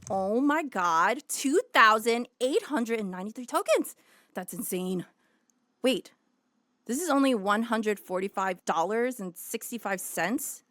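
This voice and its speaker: A woman's voice: fairly high pitch, lively intonation, unhurried, a bit bright, dry recording. A young woman playing a brash, hustling webcam dominatrix in a comedic audio drama, sassy, casual and bossy. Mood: stunned excitement.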